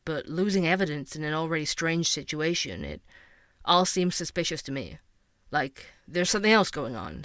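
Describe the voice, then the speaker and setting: A man's voice: fairly high pitch, fairly bright tone, no echo, clean studio sound. An actor playing a curious male investigative podcaster, who narrates and probes with questions. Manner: inquisitive, probing.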